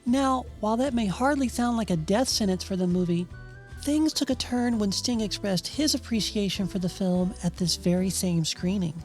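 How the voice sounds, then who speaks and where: A woman's voice: medium pitch, normal speed, a little mellow, faint music behind. A woman hosting and narrating a scripted video essay. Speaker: narrator and host.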